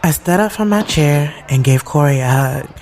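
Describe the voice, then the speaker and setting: A woman's voice: sits low, animated, normal speed. A woman playing a sassy, candid thirty-year-old who narrates her love story in an audio drama, frank and slangy. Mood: confiding, smitten. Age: 30s. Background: loud music.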